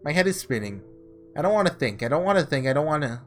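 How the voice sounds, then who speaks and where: A man's voice: on the high side, big pitch swings, fast. A man hosting a casual gaming playthrough, thinking aloud informally and reading visual novel text. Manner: informal, musing out loud. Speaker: gaming video host.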